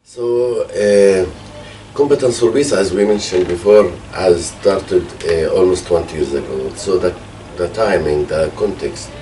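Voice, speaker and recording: Low, mellow man with Palestinian Arabic accent. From Palestine, Jerusalem area. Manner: hesitant, frequent pauses and hedging fillers. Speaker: peace activist, organization co-founder. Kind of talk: explanatory interview answer. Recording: distant mic, faint background noise.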